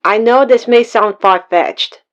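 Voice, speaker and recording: A woman's voice: fairly high pitch, a little slow, natural voice. A woman acting a worried, no-nonsense sister in an audio drama phone call. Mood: concerned.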